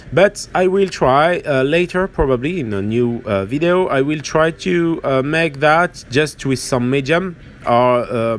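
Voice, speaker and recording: A man with a French accent and French as his first language gives an informal hands-on painting tutorial, hesitant and halting as he searches for words. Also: mid-range voice. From France or French-speaking region. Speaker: miniature painting tutorial host.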